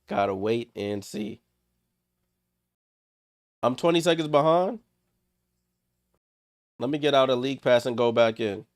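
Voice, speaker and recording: A man's voice: mid-range voice, a little slow, pauses often, no room sound, clean studio sound. A man hosting a live watchalong stream, calling the game in short clipped play-by-play bursts and chatting with viewers, a little under the weather and congested. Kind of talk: casual live play-by-play commentary.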